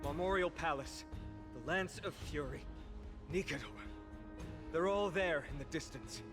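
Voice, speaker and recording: Proud young game hero, lighter tenor, composed, earnest, formal, over music. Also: male voice, fairly high pitch, measured pace. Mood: grave. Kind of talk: dramatic video game acting.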